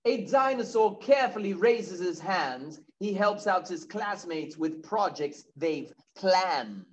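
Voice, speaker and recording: A man's voice: high voice, animated, takes it slowly, slight background noise, low-quality recording. A man reading aloud to young children as a kindergarten teacher, chatty, asking questions and answering them himself.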